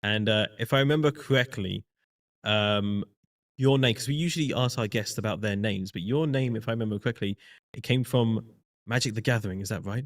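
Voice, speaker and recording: A man's voice: on the low side, brisk, far from the mic. Upbeat male stream host, slightly off-mic. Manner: upbeat, chatty, talks to chat. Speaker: community manager and stream host. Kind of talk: live stream hosting.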